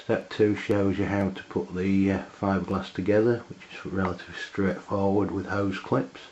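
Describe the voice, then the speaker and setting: A man with an English accent giving a casual hands-on product review in a halting, matter-of-fact way. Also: low-pitched, steady tone, a little mellow, a little hiss or hum. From England. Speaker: amateur radio reviewer. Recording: dull, lo-fi camera sound.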